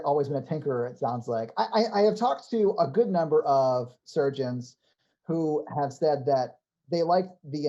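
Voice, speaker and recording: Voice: mid-range voice, warm tone, lo-fi sound. A podcast host interviewing a guest in a chatty way, thinking aloud through long questions. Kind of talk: conversational interviewing.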